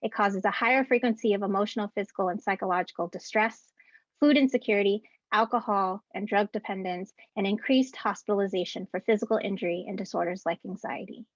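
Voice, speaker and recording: A woman in her thirties, an educator, giving a prepared ceremony speech from home over a video call in an earnest, deliberate way, reading out rhythmic lists. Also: mid-range voice, no room sound. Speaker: keynote speaker and educator. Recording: dull, dry video-call audio.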